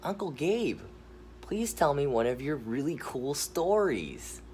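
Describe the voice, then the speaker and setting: A man voicing an excitable little boy in a homemade storybook reading. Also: fairly high pitch, slow, soft background music. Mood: pleading. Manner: shouting with delight.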